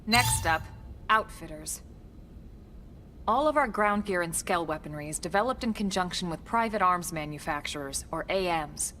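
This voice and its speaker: An actress playing a composed, capable military team leader in a video game, with a measured, assured delivery. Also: female voice, on the low side, lively intonation, fairly bright tone, slight background noise. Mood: reassuring, explanatory. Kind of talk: video game cutscene acting.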